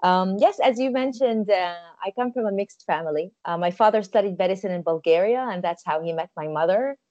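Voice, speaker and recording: A woman in her forties from Israel speaks in a mellow, darkish tone, reflective and unhurried, often restarting her phrases. The sound is dry and close, with a slightly muffled, lo-fi edge. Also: mid-range voice, dry recording. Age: 40s. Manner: thoughtful, warm, with soft laughs and frequent restarts. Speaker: guest musician and actress. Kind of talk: interview answer.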